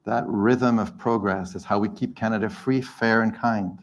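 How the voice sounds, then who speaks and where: A man's voice: on the low side, steady tone, normal speed. A man speaking as a panelist, delivering earnest, declarative prepared remarks.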